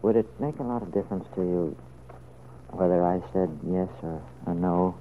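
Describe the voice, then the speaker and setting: An actor playing an anxious, tender middle-aged father in an old-time radio serial, speaking in a halting, earnest way. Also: low voice, close to an everyday voice. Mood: hesitant, gentle. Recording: muffled, noisy vintage radio recording.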